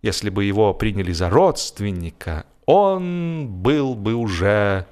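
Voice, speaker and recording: A man narrating a humorous story expressively, theatrically, with deliberate pauses and stretched words. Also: fairly low pitch, very animated, a little mellow, smooth.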